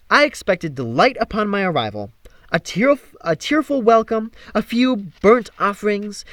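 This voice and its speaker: A man reads fiction aloud with theatrical flair and a very lively melody. His voice sits a little high, and the sound is dry with hardly any room. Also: dry recording. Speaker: amateur audiobook reader. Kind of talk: expressive scripted story narration.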